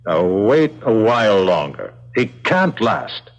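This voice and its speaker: A dull old radio recording carries a callous male prison keeper. His voice is lowish with a lot of movement in it, and he speaks in measured, resigned, grimly patient phrases. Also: very animated. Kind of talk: 1970s radio drama acting.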